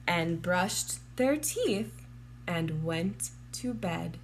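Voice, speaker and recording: A woman reading a children's storybook aloud in a measured, deliberate and expressive way, drawing out words. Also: fairly low pitch, a little hiss or hum, low-quality recording. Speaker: children's storybook reader, teacher. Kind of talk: read-aloud storybook narration for young children.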